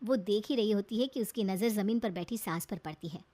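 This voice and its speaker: A woman's voice: mid-range voice, a little fast, warm tone. A woman narrating a scripted moral family story as its storyteller.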